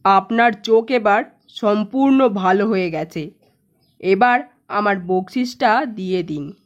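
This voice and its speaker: Woman doing scheming male doctor, lowered voice, falsely polite, slow. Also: lightly acted. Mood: demanding. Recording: dull lo-fi narration track.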